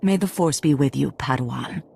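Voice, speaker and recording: An actress playing a composed, serious female Jedi master in a video game, speaking formally and calmly. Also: extremely low pitch, vocal fry, a little hiss or hum. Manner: formal, calm, measured. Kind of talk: video game cutscene acting.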